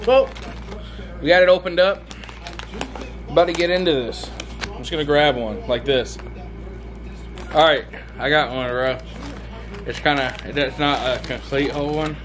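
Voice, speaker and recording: Excitable bearded vlogger, talking to camera. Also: male voice, a little high, normal speed, pauses often, soft background music. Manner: enthusiastic, rambling storytelling. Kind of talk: casual vlog. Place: inside a car, then a hotel room. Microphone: handheld camera at arm's length.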